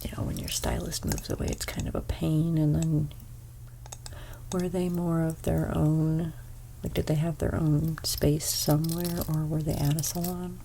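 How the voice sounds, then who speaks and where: A young woman with a stuffy nose giving an ASMR haircut role play as a hairstylist. She speaks softly, often slips into whispers, and makes gentle salon small talk. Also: sits low, sing-song, a little slow, creaky, slight background noise. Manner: soft-spoken, drifting into whispers.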